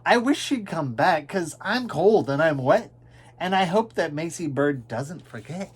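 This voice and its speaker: A man voicing a big, gentle, stubbornly faithful elephant in a children's storybook, speaking in solemn, deliberate declarations. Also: a little high, animated, slightly rough. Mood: glum. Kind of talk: children's storybook character reading.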